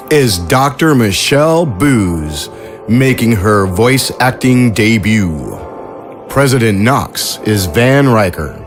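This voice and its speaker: A man reading the cast credits and announcements for an audio drama podcast in a measured, scripted way. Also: lowish voice.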